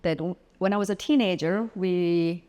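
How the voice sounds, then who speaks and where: A middle-aged woman from South Korea, whose first language is Korean, talks as a guest in a home interview. She speaks with halting pauses and many fillers. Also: on the low side, warm tone. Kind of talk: casual interview. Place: home studio.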